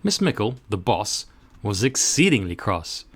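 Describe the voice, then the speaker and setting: A man reading a rhyming children's story aloud in an expressive, rhythmic, dramatic way. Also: fairly low pitch, measured pace, slightly rough.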